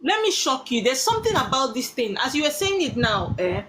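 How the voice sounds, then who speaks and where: A woman taking callers as a spiritual consultant, talking in an animated way and calling people darling. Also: high-pitched, brisk. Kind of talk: live call-in chat. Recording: noisy line with clicks.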